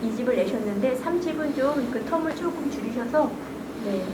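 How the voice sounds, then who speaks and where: A middle-aged woman with a fairly high voice speaks in halting, hesitant phrases, often restarting her sentences. She gives congratulatory remarks at a book launch held in a library hall. The room echoes, and loud noise sits behind her. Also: expressive. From Chungcheong, Korea. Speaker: guest speaker.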